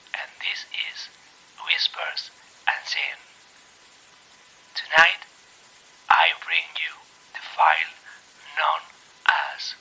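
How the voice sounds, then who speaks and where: A man with a raspy, half-whispered voice introducing a horror story as host, in an ominous, dramatic manner. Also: medium pitch, bright, forceful tone, poor audio. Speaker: host of a horror tape series.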